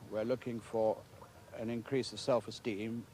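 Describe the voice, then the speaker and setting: Low-voiced British man, careful and hesitant. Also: level tone, moderate pace, a little mellow. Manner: careful, formal, hesitant phrasing. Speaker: school staff member interviewed. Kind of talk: measured interview answers. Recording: clear background noise on location.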